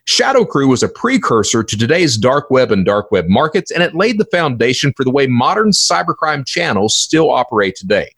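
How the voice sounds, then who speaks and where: A middle-aged man hosts a solo podcast in a husky voice that swings widely in pitch. He talks informally and emphatically, with a folksy, theatrical streak and plenty of rhetorical questions. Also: sing-song, fairly quick, hardly any pauses, fairly bright tone. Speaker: podcast host.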